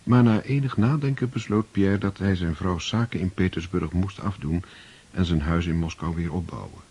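A male narrator with a deep, very husky voice, telling the story in a steady, measured way. His tone stays even and composed. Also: dull audio. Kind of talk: scripted narration.

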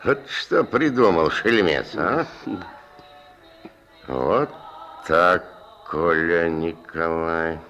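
A man's voice: deep voice, expressive, very slow, pauses often, fairly bright tone, faint music behind, exaggerated voice. An actor playing a jovial male chess player in a Soviet radio drama, muttering repetitively in singsong to himself. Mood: playful, absorbed.

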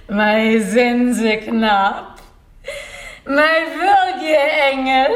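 Lady death, mocking n commanding, highish voice, really slow, echoey room. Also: a bit bright, heavily acted. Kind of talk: theatrical radio drama acting. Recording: echoey room, faint noise.

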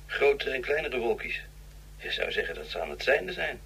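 Very hoarse-sounding, puzzled lookout. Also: male voice, medium pitch, big pitch swings, normal speed, fairly bright tone, full character voice. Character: puzzled, plain-spoken male lookout reporting. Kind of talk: Dutch science fiction radio drama acting.

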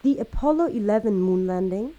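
A woman's voice: medium pitch, animated, fairly quick, dark tone, muffled recording, close to an everyday voice. An actress playing a female documentary narrator in a radio drama, reading scripted claims. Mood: measured. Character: female English documentary narrator stating moon hoax claims.

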